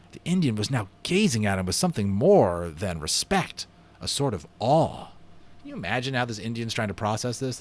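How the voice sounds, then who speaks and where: A man reading a children's story aloud with enthusiastic, dramatic expression and casual asides. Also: lowish voice. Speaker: amateur voice actor reading a children's book aloud.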